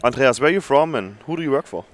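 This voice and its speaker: A man hosting a casual interview in a relaxed, friendly way, giving short affirmations as his guest talks. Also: mid-range voice, fast, slight background noise. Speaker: interview host.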